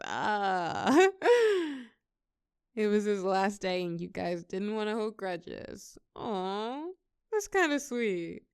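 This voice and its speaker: A young woman acting a shy, awkward, gently teasing birthday girl in a scripted audio roleplay, with a halting, expressive, conversational delivery. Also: medium pitch, no echo, studio quality. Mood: amused, disbelieving. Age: young adult. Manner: bashful, halting, giggly, expressive.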